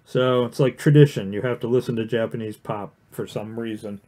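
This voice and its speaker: A man's voice: medium pitch, a little fast, mellow. A man teaching watercolor painting on a casual livestream, chatting and rambling with many fillers and restarts while he works. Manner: talks while painting, plain and encouraging. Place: indoor studio with overhead camera on the painting desk.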